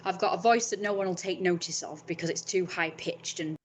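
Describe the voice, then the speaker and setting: A woman's voice: fairly low pitch, brisk, slight background noise. Blunt, rambling young woman. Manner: frank, rambling, swears freely. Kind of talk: casual vlog monologue.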